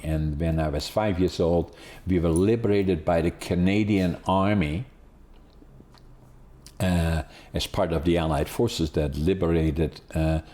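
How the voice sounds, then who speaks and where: An elderly Dutch-accented man hosts a podcast, talking loosely and circling back on himself. His voice is low and warm, with a mellow tone. He wanders through his story with plenty of fillers. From Netherlands. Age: 80s. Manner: rambling, many restarts and fillers. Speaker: podcast host. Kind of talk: casual interview chat.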